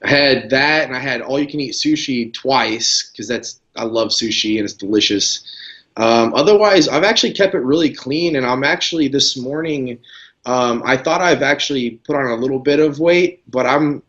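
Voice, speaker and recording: A man's voice: medium pitch, normal speed. Laid-back man in a dull lo-fi recording. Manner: relaxed, laid-back, lots of "you know" fillers. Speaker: guest pro bodybuilder. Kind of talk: casual interview chat.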